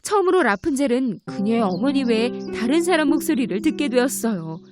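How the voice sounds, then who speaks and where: A woman's voice: on the high side, very animated, a little fast. A woman narrating a scripted fairy tale for children as a storyteller. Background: music.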